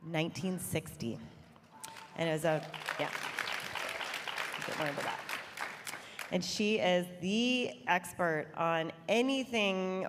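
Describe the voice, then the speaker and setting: A woman's voice: on the high side, a bit bright, a little hiss or hum. A woman moderating a conference panel in a casual, chatty way, with many fillers. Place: large hall on stage. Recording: reverberant hall, faint ambience.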